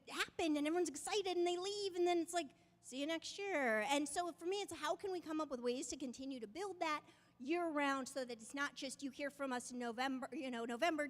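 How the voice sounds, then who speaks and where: Woman giving a talk at a dev meetup, loose and chatty, lots of "right?", hall echo on it. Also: high voice. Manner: conversational, many restarts and "right?" tags. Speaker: invited speaker at a game developer meetup. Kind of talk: casual talk to an audience. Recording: long reverb of a hall.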